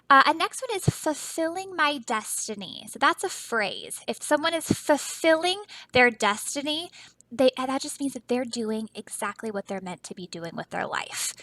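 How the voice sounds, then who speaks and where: A woman teacher with a high, bright voice reads to children in a dry, close sound. She is animated and dramatic, pausing to explain things and put questions to her listeners. Her delivery is unhurried throughout. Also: dry recording, low-quality recording. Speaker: teacher reading a picture book aloud. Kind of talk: expressive children's read-aloud with explanatory asides.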